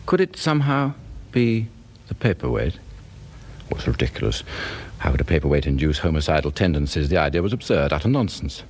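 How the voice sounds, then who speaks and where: A man's voice: extremely low pitch, very animated, brisk, darkish tone, slightly rough, full character voice. A British man playing an anxious, suspicious professor who narrates a radio drama in a formal, brooding way. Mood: troubled, brooding. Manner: formal, brooding, swinging in emphasis. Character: anxious, suspicious professor narrating his own unravelling marriage. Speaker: narrator and lead actor.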